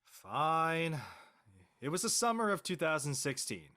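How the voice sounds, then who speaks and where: A man playing a teasing, laid-back middle-aged dad with a deadpan delivery in an audio drama. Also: on the high side, unhurried, full character voice. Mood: nostalgic.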